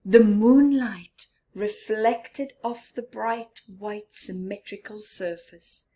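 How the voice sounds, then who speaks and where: Slow, expressive woman reading to children. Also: mid-range voice. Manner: expressive, dramatic, with gasps, whispers and questions to the listener; slow, simple child-directed phrasing. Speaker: storyteller for children. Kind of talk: animated read-aloud of a picture book with asides to young listeners.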